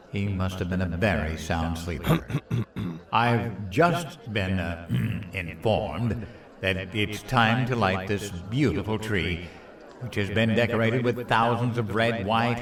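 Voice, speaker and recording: An actor playing a clueless, self-assured president in a comedic audio drama, hesitant and earnestly rambling. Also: male voice, lowish voice, recorded from a distance, lightly acted. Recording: roomy echo, off the mic.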